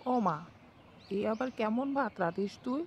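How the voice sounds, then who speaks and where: A bossy old village mother-in-law, voiced by a woman nags and grumbles in a cartoon voice, slightly high, over faint outdoor noise. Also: animated, moderate pace, lo-fi sound. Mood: grumbling. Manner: nagging, complaining.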